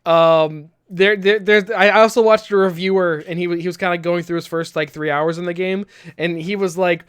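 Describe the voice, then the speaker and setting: A man's voice: high-pitched, fairly even intonation, moderate pace, a bit bright, smooth, no room sound, clean studio sound. A young man hosting a casual gaming podcast, rambling and laughing often. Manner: rambling, laughs often, repeats words while restarting. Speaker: podcast host.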